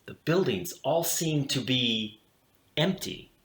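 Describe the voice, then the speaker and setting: A man reads a children's storybook in a theatrical, highly animated way. He takes his time, pausing deliberately for drama as his pitch rises and dips. The room adds a noticeable echo around his voice. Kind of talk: expressive read-aloud of a children's picture book. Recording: roomy, echoing room sound.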